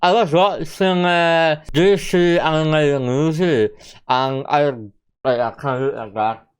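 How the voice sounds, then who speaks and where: A man hosting a reaction video, talking in halting casual commentary with many restarts and exclamations. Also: on the high side, steady tone, clear, resonant tone.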